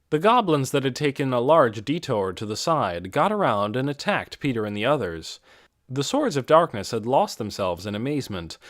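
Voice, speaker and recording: Guy narrating a fantasy audiobook, really lively pitch, dry close sound, dramatic. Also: no echo.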